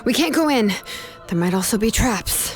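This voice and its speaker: A level-headed young woman adventurer for a video game. Her voice sits fairly high, assertive and matter-of-fact, like someone used to leading. Light music runs behind her. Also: expressive, normal speed. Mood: cool, pondering. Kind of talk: dramatic video game voice acting. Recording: game audio with background music.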